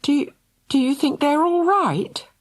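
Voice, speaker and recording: A woman's voice: very high-pitched, very slow, a little mellow, no echo, studio quality, pitched above the performer's own voice, exaggerated voice. A woman voicing a frightened mother with a quavering, whimpering voice. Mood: anxious.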